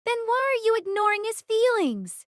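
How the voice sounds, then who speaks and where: A woman voicing an earnest, forceful young female platoon captain in an audio drama, pleading and insistent. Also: very high voice, big pitch swings, normal speed, no echo, studio quality, pitched above the performer's own voice. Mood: insistent, reproachful.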